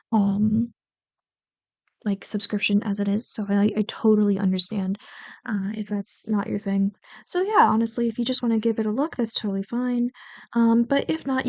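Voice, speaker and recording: A woman's voice: medium pitch, dry recording. A young woman, an ASMR roleplay creator, chatting casually and affectionately to her listeners in a rambling, apologetic way.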